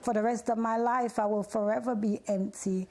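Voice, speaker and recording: A woman's voice: a little high, steady tone, moderate pace, darkish tone, slight background noise. A woman from Jamaica, giving personal testimony in an interview. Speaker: bereaved mother being interviewed.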